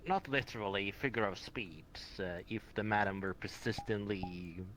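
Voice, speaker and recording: A man's voice: on the low side, moderate pace, a bit bright. A man improvising in-character dialogue in an online roleplay game. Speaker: roleplay player. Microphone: home microphone in online game chat. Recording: noisy online voice chat.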